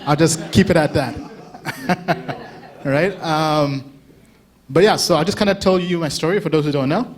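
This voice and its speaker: A man's voice: fairly high pitch, moderate pace, pauses often. A man from Uganda in his thirties giving a casual conference talk, chatting with the audience, asking them questions and laughing often. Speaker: conference speaker.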